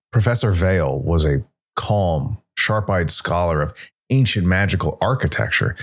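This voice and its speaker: Low-pitched man narrating a dramatic story. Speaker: narrator, solo storytelling player.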